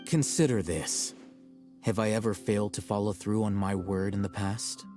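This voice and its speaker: Man acting a low, mellow, deadpan scholar. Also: faint music behind, close to an everyday voice. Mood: calm, coldly threatening. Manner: measured, deadpan, formal. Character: cool, calculating young male scholar, bluntly self-assured. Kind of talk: video game voice acting.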